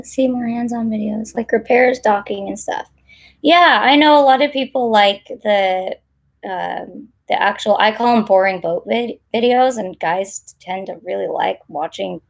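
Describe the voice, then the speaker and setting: A woman with a naturally raspy voice, still hoarse after losing it, talks loosely to her viewers. She rambles through fillers and small laughs. Her tone is gravelly at a middling pitch. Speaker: vlogger answering live chat. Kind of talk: casual live-stream chat.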